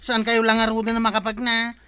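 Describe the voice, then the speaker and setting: A woman with an Ilocano accent playing an excitable, meddling village neighbour in an audio drama, exclaiming and chattering. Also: fairly high pitch, a little fast. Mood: puzzled, concerned. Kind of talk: Ilocano radio drama acting. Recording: clear background noise.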